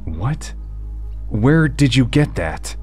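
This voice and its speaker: A man playing a stunned young hero leader who speaks haltingly in an audio drama. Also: mid-range voice, very animated, a little mellow, faint music behind. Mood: shaken. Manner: halting, disbelieving speech.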